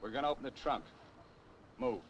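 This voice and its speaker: A man's voice: brisk, natural voice. An actor playing a cold-blooded American gunman in a 1950s crime film, giving curt orders in tough, slangy speech. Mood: cold, menacing. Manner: curt barked commands, tough slangy grammar. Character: menacing, cold-blooded male gunman holding drivers captive. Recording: muffled old film soundtrack, noisy car rumble.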